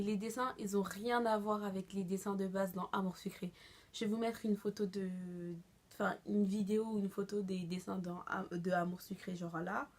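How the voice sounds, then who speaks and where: A young woman vlogger giving a casual, expressive reaction commentary full of slang. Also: mid-range voice.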